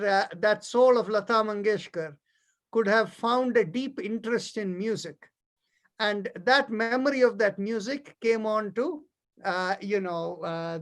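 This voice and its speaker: A man's voice: sits high, fairly bright tone. A middle-aged man from India with an Indian accent and Tamil as his first language, teaching yoga philosophy in a didactic, explanatory way and checking that listeners follow. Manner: didactic, checks understanding with short tag questions. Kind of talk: explanatory spiritual lesson.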